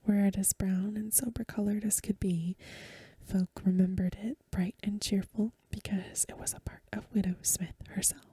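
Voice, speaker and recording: A woman softly whispering a story for ASMR in a breathy voice, with gentle, careful phrasing, close to the microphone. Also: mid-range voice, flat delivery, normal speed. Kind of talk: soft-spoken ASMR story reading.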